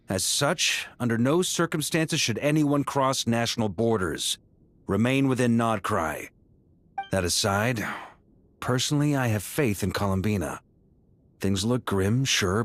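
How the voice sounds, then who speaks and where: Casting: confident knightly leader for a game, authoritative, slightly deep, animated delivery. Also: male voice. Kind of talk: video game dialogue acting.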